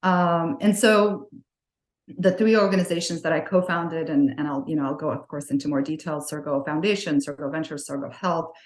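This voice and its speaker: A woman's voice: deep voice, fairly even intonation, normal speed. A middle-aged woman answering interview questions in a thoughtful way, with many fillers and restarts. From Libya. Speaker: podcast guest. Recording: roomy echo.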